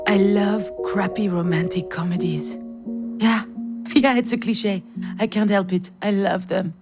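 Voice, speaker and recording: A woman's voice: mid-range voice, fairly even intonation, a little slow, over loud music, close to an everyday voice. An actress playing a frazzled, self-deprecating woman who narrates her inner monologue in a visual novel game, sardonic and confessional. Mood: wry, confessional. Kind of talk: visual novel voice acting, first-person narration.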